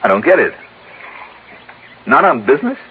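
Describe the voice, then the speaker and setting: An actor playing a wisecracking insurance investigator who narrates, in 1950s radio drama style on an old broadcast. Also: male voice, medium pitch, huge pitch swings, normal speed, phone-quality sound, a little hiss or hum. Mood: wary. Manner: wisecracking, easygoing. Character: wry hard-boiled male insurance investigator. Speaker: narrator and lead.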